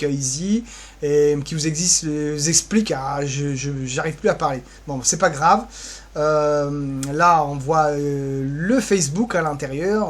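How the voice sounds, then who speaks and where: A man giving an informal website walkthrough, hesitant and rambling, with playful asides. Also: a little high. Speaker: presenter.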